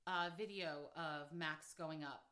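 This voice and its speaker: A woman co-hosting a casual sports podcast, animated and laughing often as she trash-talks. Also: deep voice, slow pace. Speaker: podcast co-host. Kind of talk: casual chat.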